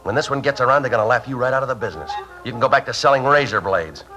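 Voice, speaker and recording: An actor playing a tough undercover police detective in a 1950s radio drama, clipped and hard-boiled, also narrating. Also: male voice, medium pitch, racing, lightly acted. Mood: angry. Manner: clipped, hard-boiled, bullying when in character. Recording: old radio broadcast with faint music.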